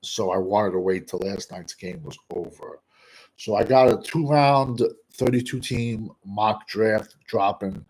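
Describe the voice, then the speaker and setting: Man, husky voice, slow unhurried delivery, blunt rambling draft talk, flat monotone. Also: fairly low pitch, rough recording. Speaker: draft analyst host. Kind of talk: casual draft commentary to camera.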